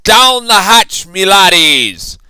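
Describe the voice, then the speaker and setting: A man voicing a blustering, hearty pirate captain for a storybook, with drawn-out, bellowing pirate talk. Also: sits high, sing-song, bright, forceful tone, clean tone, no room sound, voice pushed up, exaggerated voice. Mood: roaring. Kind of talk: theatrical storybook character voice.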